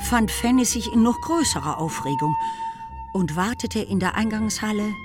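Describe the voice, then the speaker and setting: A woman narrating a literary radio drama in a measured, composed, scripted style. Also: mid-range voice, expressive, over music.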